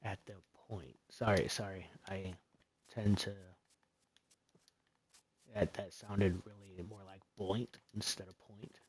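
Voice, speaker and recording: A soft-spoken man with a fairly low voice talks slowly and loosely through a gaming livestream. He rambles along, filling the gaps with ums and uhs. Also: steady tone, husky. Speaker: streamer. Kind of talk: casual game commentary.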